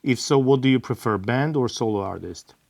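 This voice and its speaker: A man's voice: mid-range voice, creaky, a little mellow, no echo. Easygoing middle-aged man, dry close sound. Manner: easygoing, plain delivery with frequent "uh" pauses. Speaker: host, father of the bassist. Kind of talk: casual Q&A, reading viewer questions aloud.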